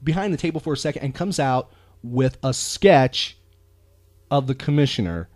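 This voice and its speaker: A man hosting a casual talk show, speaking earnestly and haltingly, often repeating words as he goes. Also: medium pitch, darkish tone, no room sound, clean studio sound. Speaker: podcast host.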